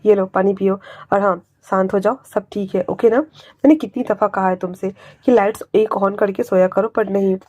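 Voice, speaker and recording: A woman voicing a protective older man who scolds and calms a frightened younger one, in a spoken story. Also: mid-range voice, moderate pace, dry recording, natural voice. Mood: stern, soothing. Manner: scolding, calming, firm. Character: protective older man, stern but caring.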